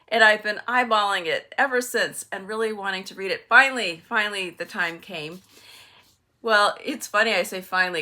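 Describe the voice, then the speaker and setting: An older woman with a bright, clear-edged voice at a medium pitch. She talks casually to viewers as a book vlogger. Her manner is chatty and wry, and she readily pokes fun at herself.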